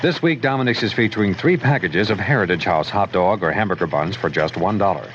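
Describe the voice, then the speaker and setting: Low-voiced male radio ad announcer. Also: lively intonation, fairly quick. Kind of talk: scripted retail radio ad. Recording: muffled, noisy old radio broadcast.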